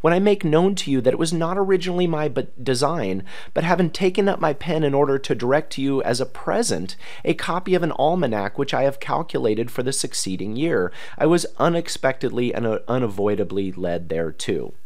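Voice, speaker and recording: A man reads a historical letter aloud in a formal, oratorical manner with emphatic pauses. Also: mid-range voice, big pitch swings, a little mellow. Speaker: reader.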